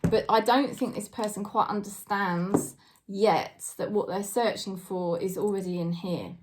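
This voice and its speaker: A woman in her forties with a British accent, giving a casual tarot reading to camera and talking in a chatty, hesitant way with many fillers and soft laughs. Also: mid-range voice, fairly even intonation, unhurried, a little hiss or hum, rough recording. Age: 40s. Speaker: tarot reader.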